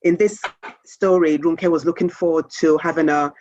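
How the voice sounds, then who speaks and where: A woman's voice: deep voice, fairly even intonation, moderate pace, a little mellow, no room sound, highs cut off. A woman from Nigeria, answering interview questions conversationally as an author.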